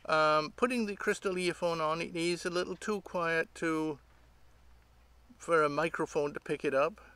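A man's voice: fairly high pitch, normal speed, pushed and bright, clean tone, no room sound. A middle-aged man with a British accent giving a casual, unscripted show-and-tell outdoors, explaining things in a hesitant, rambling way. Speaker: host of an electronics hobby channel. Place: outdoors by leafy trees.

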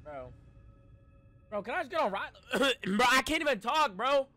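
A man's voice: very high voice, animated, normal speed, pushed and bright. A young man live-streaming a game in casual slang, repeating himself in exasperated pleas, his voice strained as if he is losing it. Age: young adult. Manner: slangy, repetitive, exasperated pleading. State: strained voice, losing it, frequent throat clearing. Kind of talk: casual live horror-game stream, reacting and talking to chat.

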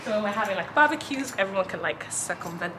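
A woman's voice: medium pitch, lively intonation, fast talker, background noise. A young woman giving a chatty house tour, full of "like" and half-finished thoughts. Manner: chatty, filler-heavy, trailing off mid-thought. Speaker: vlogger. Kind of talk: casual house tour chat. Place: empty new house and garden. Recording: echoey empty rooms.

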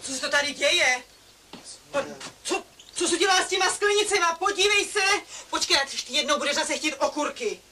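A woman's voice: sits high, lively intonation, bright, forceful tone, a little hiss or hum. An actress playing a middle-aged mother, scolding and nagging her son. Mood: angry scolding.

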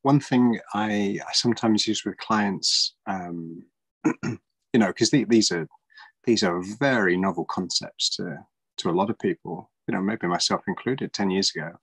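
A man's voice: fairly low pitch, moderate pace, fairly bright tone, husky, no room sound, rough recording. A middle-aged man hosting a podcast over a video call, speaking thoughtfully with many fillers and restarts. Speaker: podcast host. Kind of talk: casual interview chat. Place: small room at home.

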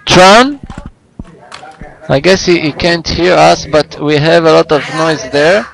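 A man with a high, gravelly voice hosts a group call over a video chat link, and his first language is Hebrew. He talks slowly and patiently, checking in on each guest by name. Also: a bit bright, rough recording. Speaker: host of an English practice call.

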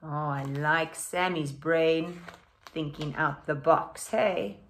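A woman's voice: low voice, slow pace. A middle-aged woman, a teacher, reading a children's story aloud expressively, with questioning asides to young pupils. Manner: expressive, exaggerated, with questions to listeners. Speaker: teacher reading to her class. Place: living room at home.

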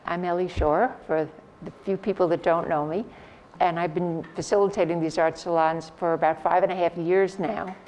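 A woman hosting an art salon, giving a measured, formal introduction of the evening's speaker. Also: on the low side, steady tone, darkish tone, a little hiss or hum, rough recording. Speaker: host of art salon talks.

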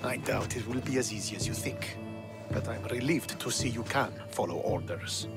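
An actor playing a bitter, proud young Spartan commander in a video game, speaking in a scornful, commanding, clipped way. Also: male voice, on the low side, animated, normal speed, gravelly, over loud music. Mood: cold, challenging. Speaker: military commander. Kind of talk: dramatic video game cutscene acting.

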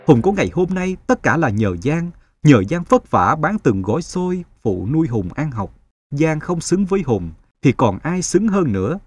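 A man's voice: on the high side, very animated, normal speed, a little mellow, a little hiss or hum. An actor playing a devoted, successful young man in a cartoon drama, speaking earnestly. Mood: earnest, tender. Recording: prominent music under the voice.

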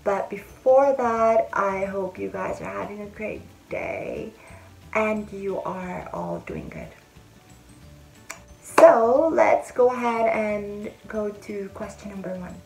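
Slow-talking young woman vlogger. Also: medium pitch, expressive, creaky, faint music behind, poor audio. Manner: chatty, friendly, explains things step by step. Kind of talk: casual advice vlog. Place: indoor room with a bead-curtain backdrop.

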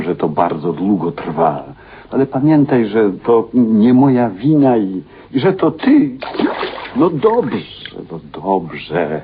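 Slow, deep pleading, apologetic man. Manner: hesitant, pleading, placating. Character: patient, apologetic man coaxing an unseen Marianna. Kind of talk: radio play acting. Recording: narrow phone-like old radio recording, faint hiss.

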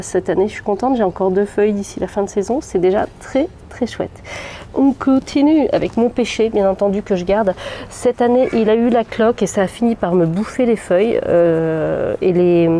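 A woman's voice: mid-range voice, warm tone, slight background noise. A woman in her thirties with a head cold, chatting casually with many fillers and restarts as she vlogs a tour of her balcony plants. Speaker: gardening vlogger. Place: small sunny balcony outdoors. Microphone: phone held at arm's length.

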